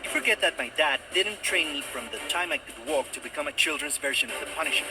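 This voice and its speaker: An actor playing an excitable young amateur superhero in a video game, sarcastic and exclamatory. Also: male voice, a little high, fast, close to an everyday voice. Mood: indignant. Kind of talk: video game cutscene acting and narration. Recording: loud music behind the voice. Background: loud game music.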